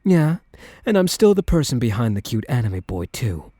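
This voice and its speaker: A soft, dark-toned young crime boss acted by a man, talking tenderly to a partner in a boyfriend roleplay. His delivery is measured and protective, recorded very dry and intimate. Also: mid-range voice, big pitch swings, dry recording. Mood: wistful, sincere. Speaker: roleplay voice performer. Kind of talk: boyfriend ASMR audio roleplay.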